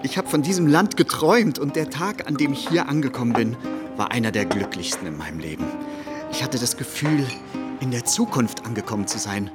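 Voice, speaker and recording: An actor playing a genial, nostalgic middle-aged comrade in a radio drama, reminiscing with feeling. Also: male voice, medium pitch, expressive, brisk, over loud music, lightly acted.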